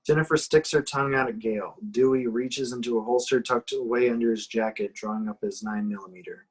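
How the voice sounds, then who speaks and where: A man's voice: mid-range voice, a little fast, husky, low-quality recording. A man reading out screenplay action as narrator in an amateur horror table reading.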